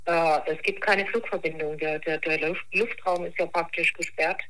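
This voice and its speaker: Lower-voiced woman giving blunt advice. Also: steady tone, moderate pace, fairly bright tone, low-quality recording. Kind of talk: informal official advice.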